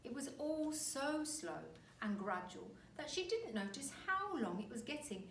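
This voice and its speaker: A woman reading a children's story aloud like a teacher, with dramatic, deliberate emphasis. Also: high voice, big pitch swings. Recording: roomy, distant mic, faint noise.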